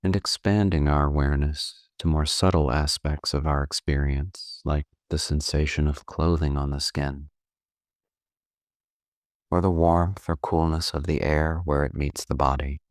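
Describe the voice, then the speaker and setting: Male meditation guide, extremely deep voice, dry studio-like sound, calm and gently instructive, taking his time between phrases. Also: no room sound. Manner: calm, unhurried, gently instructive with long pauses. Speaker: meditation coach.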